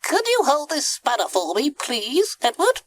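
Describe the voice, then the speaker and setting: A woman's voice: wildly swooping pitch, unhurried, full character voice. A woman voicing an eager, busy male monkey sailor with chirpy exclamations in a children's storybook. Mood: flustered. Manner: high, bright, eager exclamations.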